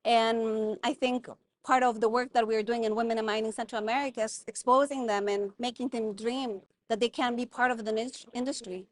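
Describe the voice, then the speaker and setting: Earnest woman, slightly high voice. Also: fairly even intonation, brisk. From Guatemala. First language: German. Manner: thoughtful, earnest, many fillers and restarts. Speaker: guest, mining consultant. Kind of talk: conversational interview.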